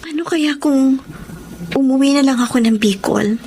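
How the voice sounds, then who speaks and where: A respectful young mother in a radio drama, resigned and polite in a fairly high voice. Background ambience is clearly heard. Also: normal speed, warm tone, slight background noise. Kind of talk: Tagalog radio drama acting.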